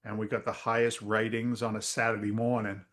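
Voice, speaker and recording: A middle-aged man, a real estate investor telling stories in a casual interview over a video call, lively and blunt, acting out voices. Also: fairly low pitch, a little fast. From Massachusetts. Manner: animated storyteller, acts out quoted voices, swears casually.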